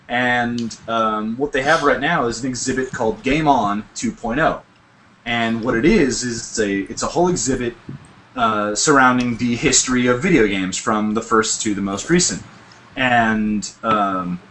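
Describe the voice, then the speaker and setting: A man's voice: mid-range voice, a bit bright, slight background noise, low-quality recording. A man from Oregon hosting a casual gaming podcast, rambling with plenty of "um"s and restarts. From Oregon, USA. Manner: rambling, lots of fillers and restarts. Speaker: podcast host.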